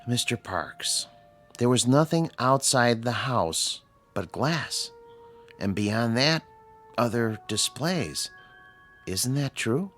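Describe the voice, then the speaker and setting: An actor in a radio drama playing a patient psychiatrist who explains and probes with measured, calm authority. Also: male voice, mid-range voice. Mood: probing. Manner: measured, didactic, probing. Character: patient, reasoning male psychiatrist, calmly authoritative. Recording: radio drama with music underneath.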